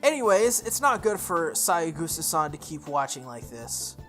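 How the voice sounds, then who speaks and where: A woman reading a visual novel aloud in first person, with expressive delivery, occasional stumbles and her own asides. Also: sits low, normal speed, soft background music. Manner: expressive, self-correcting reading.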